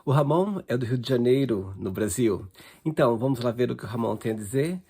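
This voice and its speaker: A man's voice: mid-range voice, animated, fairly quick, mellow, slightly rough. A man hosting an interview show, welcoming the guest and asking short, upbeat questions over a video call. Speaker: host.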